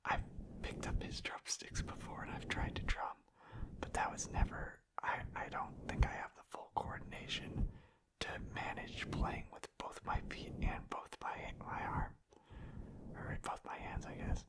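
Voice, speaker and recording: A man speaking in a breathy, unvoiced whisper, rambling casually and hesitantly in an ASMR style. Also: unhurried, rough recording. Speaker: ASMR creator.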